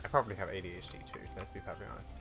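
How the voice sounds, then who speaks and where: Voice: sits low, fairly even intonation, rapid-fire. A gaming video creator of unclear sex chats casually and apologetically through a playthrough, in an informal way. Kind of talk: casual game playthrough commentary with read-aloud dialogue. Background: game music, keyboard clicks.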